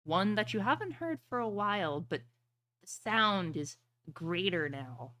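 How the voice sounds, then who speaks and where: A woman running a fantasy tabletop game as game master, narrating in a dramatic, deliberate storytelling manner. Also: medium pitch, big pitch swings, bright, forceful tone, no echo.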